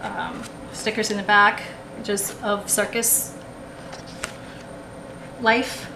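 A woman's voice: medium pitch, level tone, extremely slow, creaky. A woman chatting casually through a haul at a table, rambling with lots of ums and restarts, recorded on the camera. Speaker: haul vlogger. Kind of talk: casual show-and-tell haul. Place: indoors at a table, camera over the table. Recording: echoey room with background noise.

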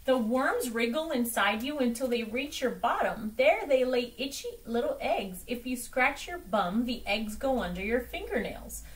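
A woman's voice: fairly high pitch, animated, moderate pace, hardly any pauses, natural voice. A woman voicing an emphatic, exasperated dog doctor who lectures his patients in a children's storybook. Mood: instructive. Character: stern, exasperated know-it-all dog doctor. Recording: distant microphone in a room.

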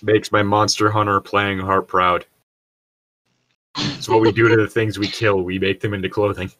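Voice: low voice, flat delivery, moderate pace, a bit bright, dry recording. A person chatting casually and reading fanfiction aloud for laughs, with a sweary, teasing manner. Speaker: co-host of a group fanfiction reading. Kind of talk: casual chat and comedic read-aloud.

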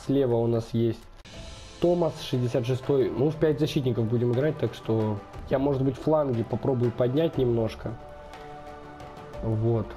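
A man's voice: medium pitch, normal speed, warm tone, soft background music. A young man hosting a gaming channel, chatting casually as he plays a football management game, thinking aloud with lots of fillers, his voice a little off from being slightly ill. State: slightly unwell, voice affected. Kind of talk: casual let's-play commentary.

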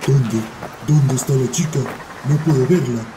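A man voicing a gruff, greedy giant monster, blunt and demanding, in a children's cartoon. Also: medium pitch, unhurried, background noise, close to an everyday voice. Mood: impatient. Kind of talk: dubbed children's cartoon dialogue. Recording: echoey voice over clear music.

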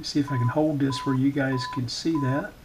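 A man giving an informal hands-on demonstration in short, halting, hesitant phrases, talking at a workbench indoors. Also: mid-range voice, steady tone, noisy background. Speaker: electronics repair channel host, demonstrator.

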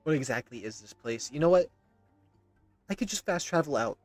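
A man's voice: on the high side, lively intonation, a little fast, no echo, soft background music. A man, casually commenting on a game as he plays and repeating himself. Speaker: game streamer. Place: indoor room.

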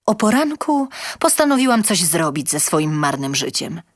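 A woman's voice: lowish voice, big pitch swings, normal speed, no echo, clean studio sound. A woman reading a scripted first-person story in a theatrical, emotive storytelling manner. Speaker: reader of a short story.

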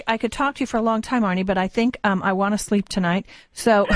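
A woman's voice: mid-range voice, no room sound. Female American radio host, chatty and informal, mid-range voice, very dry studio sound. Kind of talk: call-in radio conversation.

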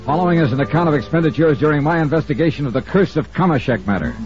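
Muffled old radio recording, actor playing American male investigator, animated swings in pitch, wry crisp storytelling. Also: over music, lightly acted. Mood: wry, confident. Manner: wry, brisk, crisply emphatic. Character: wry, self-assured male freelance insurance investigator. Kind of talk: 1950s radio drama dialogue and first-person narration.

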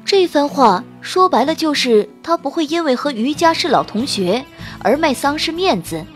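A woman narrating a romance audiobook with smooth, expressive storytelling. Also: sits high, moderate pace, faint music behind. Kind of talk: scripted narration of a romance novel.

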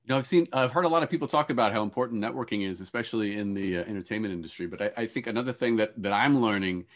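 A middle-aged man with a fairly low voice moderates a panel on a video call. He explains things conversationally, in a halting way, repeating words as he forms each thought.

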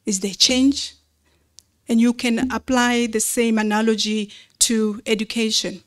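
A woman giving an explanatory slide presentation at a convention, in a measured, didactic way with rhetorical questions. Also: on the high side, little pitch movement.

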